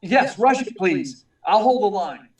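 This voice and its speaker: A man's voice: high voice, sing-song, a little slow, distant mic, exaggerated voice. A man playing an earnest, urgent young newspaper reporter in a 1940s-style radio drama. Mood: eager, pressing. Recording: echoey room, away from mic.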